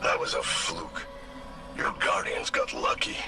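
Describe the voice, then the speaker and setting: A man's voice: very deep voice, measured pace, creaky, heavily acted. A man voicing a smug alien ghost henchman in a video game, sarcastic and taunting. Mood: mocking. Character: smug, sneering male alien ghost, henchman of a witch queen. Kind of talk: video game villain dialogue. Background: loud game music.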